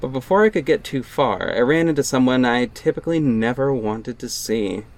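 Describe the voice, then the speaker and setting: A man's voice: medium pitch, moderate pace, close to an everyday voice. A man playing a wealthy orphaned young hero in an audio drama, guarded and earnest, with dry sarcasm and a defensive edge. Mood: exasperated. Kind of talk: first-person audio drama narration and dialogue.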